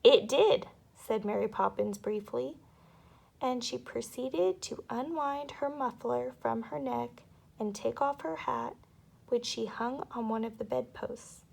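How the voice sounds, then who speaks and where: A woman narrating a children's story aloud with expressive, dramatic delivery. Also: on the high side, unhurried, gravelly, rough recording.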